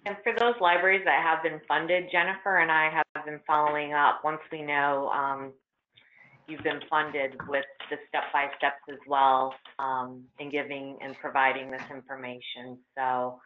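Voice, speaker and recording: A woman's voice: a bass voice, little pitch movement, measured pace. A woman co-presenting on a training call, giving information informally. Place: conference call. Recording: tinny, narrow phone line.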